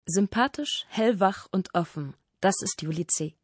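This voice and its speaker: A woman's voice: medium pitch, lively intonation, a little slow, a little mellow, husky, dry recording. A woman reading scripted narration as the narrator of a radio feature.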